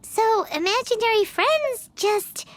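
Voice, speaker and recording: A woman voicing a tiny, excitable, petulant fairy companion in a video game. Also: slow, full character voice. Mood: inquisitive, eager. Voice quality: squeaky, childlike. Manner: refers to self in third person, exclamatory. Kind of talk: cartoonish video game voice acting. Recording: game music under the voice.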